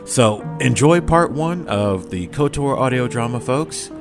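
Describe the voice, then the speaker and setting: A man's voice: medium pitch, expressive, a little slow. A man who is a voice actor, giving a scripted, theatrical and playful message to listeners. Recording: music behind the voice. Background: music.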